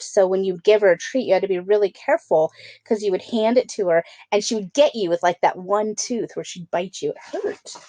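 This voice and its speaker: A woman's voice: mid-range voice, a little mellow, no room sound. A woman teacher reading a picture book aloud, adding conversational explanations and check-in questions for children.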